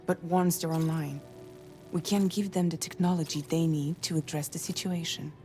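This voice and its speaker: An actress playing a composed woman guide in a video game, explaining a mission in a measured way. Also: low-pitched, fairly even intonation, warm tone. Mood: serious briefing. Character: composed woman guide briefing a courier, quietly caring. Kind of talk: video game cutscene dialogue. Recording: music behind.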